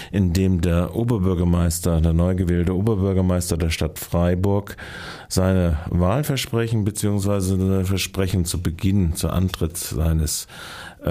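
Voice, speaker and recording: Male local reporter, deep and mellow, measured, halting delivery, introducing recorded material. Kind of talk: spoken commentary introducing a recorded clip.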